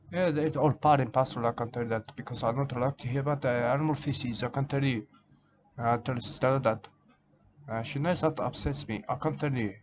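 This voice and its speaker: A man rambling through a halting comic monologue in broken grammar, filling it with "mate" and "I can tell you". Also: medium pitch, muffled recording, a little hiss or hum. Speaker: comedic character monologue. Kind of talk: rambling comic monologue as a nature-documentary style character.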